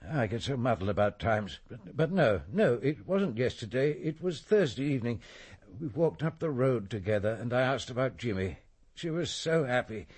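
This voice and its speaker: An actor playing a kindly, muddled village vicar who speaks hesitantly and repeats himself in a radio drama. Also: male voice, mid-range voice, lively intonation, normal speed, darkish tone, breathy edge. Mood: muddled, wistful. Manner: hesitant, repetitive, flustered.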